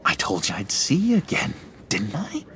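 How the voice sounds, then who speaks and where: An actor playing an eccentric, unsettling former asylum inmate in a video game, awkwardly eager, with halting, theatrical delivery that swings between giggly and brooding. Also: male voice, on the low side, huge pitch swings, bright, forceful tone, a little hiss or hum, heavily acted.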